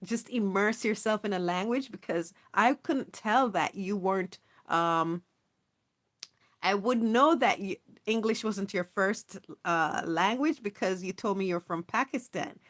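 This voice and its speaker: This is a woman hosting a relaxed live stream, speaking in a friendly, encouraging way. She reads viewers' comments aloud and chats back into her own mic. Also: medium pitch, unhurried. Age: adult, not young. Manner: warm, encouraging, reads chat aloud, chatty with laughs. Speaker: livestream host. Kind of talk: casual livestream chat with viewers.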